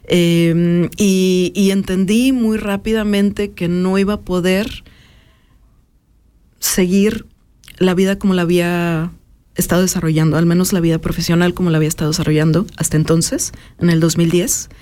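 A woman, talking thoughtfully as an interview guest and pausing often with filler sounds. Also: on the low side, fairly even intonation, dark tone. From Mexico. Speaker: interview guest, artist and educator. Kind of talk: personal reflective conversation.

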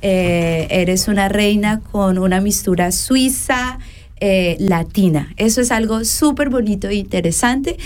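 A woman's voice: medium pitch, a little slow. A woman hosting a talk show, introducing guests with effusive praise. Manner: effusive, flattering. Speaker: show host.

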